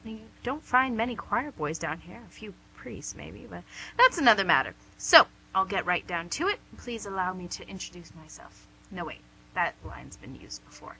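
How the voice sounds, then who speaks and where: Lady Devil, acted by a woman: sharp bright voice, smug tempting charm, comic radio play, noisy humming recording. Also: lowish voice, very animated, normal speed, vocal fry, heavily acted. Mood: teasing. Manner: teasing, chatty, theatrical. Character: female Devil, playful, charming, smugly tempting businesslike boss. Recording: noisy amateur recording with hum.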